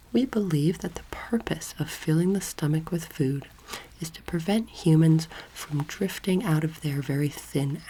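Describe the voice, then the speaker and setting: A young woman speaks in a very low, dark, breathy voice that often drops to a near whisper. She delivers an ASMR roleplay lesson gently and with measured care. She is close to the microphone, with some background noise of clicks, crinkles and squishes. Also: poor audio. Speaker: ASMR performer role-playing an alien anatomy teacher. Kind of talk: soft-spoken ASMR roleplay lecture. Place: indoor room, seated close to camera. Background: soft clicking, crinkling and squishing sounds.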